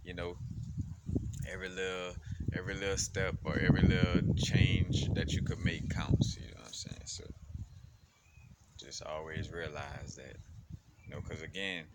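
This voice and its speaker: Man from Louisiana, low voice, loose slangy ramble, heavy noise behind him; casual spiritual vlogger. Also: level tone, moderate pace, long pauses. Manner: loose, filler-heavy, laid-back slang. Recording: loud background noise.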